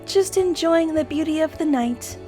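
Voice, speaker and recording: A woman voicing a dreamy, excitable young female bat-winged pony in an animated fan audio drama, given to theatrical musing and flustered stammers. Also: very high voice, heavily acted. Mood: wistful. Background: music.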